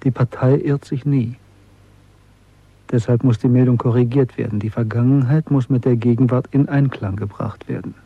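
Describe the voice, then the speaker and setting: A bitter middle-aged secret rebel in a radio play, intense n deliberate, bit husky, dull dry sound. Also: male voice, medium pitch, steady tone, no room sound. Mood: bitter explaining. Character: disillusioned, bitter man of nearly forty, secretly rebellious clerk. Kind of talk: German radio drama acting. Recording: dry, muffled old radio production.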